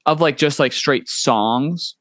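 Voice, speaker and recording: A man's voice: mid-range voice, slow, fairly bright tone, dry recording. A young man hosts a casual podcast chat in a mid-range voice. He talks loosely, with lots of "like" and "you know", and keeps agreeing and encouraging. The sound is dry and close, with no room around it. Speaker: podcast host.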